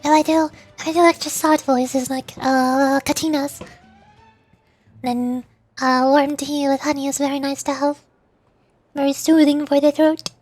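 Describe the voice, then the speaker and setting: Woman, very high pitch, cutesy, casual stream chat, dry close mic. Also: flat delivery, a little slow, darkish tone, dry recording, faint music behind. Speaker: streamer and voice performer. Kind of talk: casual livestream chat, answering viewers.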